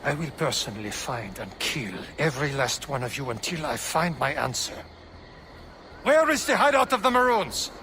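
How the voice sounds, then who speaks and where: A menacing game villain on the hunt. His threatening voice is sharp and fairly high, swinging widely, over faint ambient noise. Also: big pitch swings, normal speed, full character voice. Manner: threatening, forceful. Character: menacing male hunter demanding answers, relentless. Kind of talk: dramatic video game cutscene acting.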